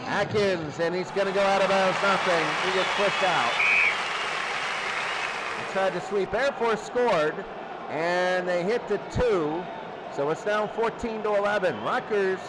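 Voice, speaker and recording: Male sports announcer, high register. Terse live football calls over clear noise. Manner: terse calls, repeating down and yardage. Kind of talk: live American football commentary. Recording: clearly noisy recording.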